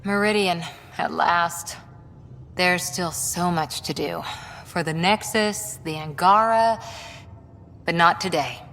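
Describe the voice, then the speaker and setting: An actress playing a dutiful, determined soldier comrade in a video game. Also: female voice, on the low side, takes it slowly, long pauses, a bit bright, big-room sound, soft background music. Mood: satisfied, hopeful.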